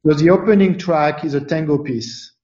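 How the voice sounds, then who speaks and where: A man from France, speaking as an interview guest in a thoughtful, halting way with many fillers and restarts. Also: on the high side, mellow, reverberant, lo-fi sound. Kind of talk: casual interview chat.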